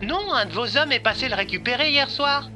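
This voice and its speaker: A neighbour speaking on the phone in an audio drama, played by a woman. Her voice is very high, and music is clearly heard behind it. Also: animated, fairly quick. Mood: puzzled. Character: female neighbour on the phone, babysitter.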